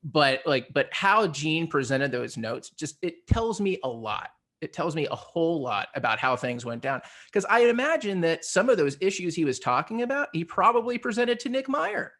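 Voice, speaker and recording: A man's voice: on the high side, very animated, moderate pace, hardly any pauses, fairly bright tone. A man co-hosting a podcast, talking in an opinionated, casual way with blunt, emphatic, sometimes profane phrasing. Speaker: podcast co-host. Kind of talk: opinionated casual panel discussion.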